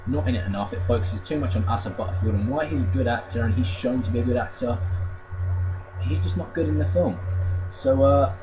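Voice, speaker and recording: A man's voice: mid-range voice, fairly quick, poor audio. English guy chatting through a movie review, kinda unenthusiastic and rambly, music going behind him. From England. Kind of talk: casual spoken review to camera.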